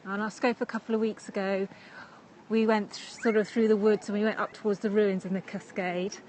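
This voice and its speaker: A woman's voice: medium pitch, flat delivery, brisk, a little mellow, a little hiss or hum, poor audio. An English woman with a chatty manner, talking casually while walking outdoors by a lake and streaming live from a phone. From England. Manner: chatty, with frequent fillers and laughs. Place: outdoors by a busy lakeside.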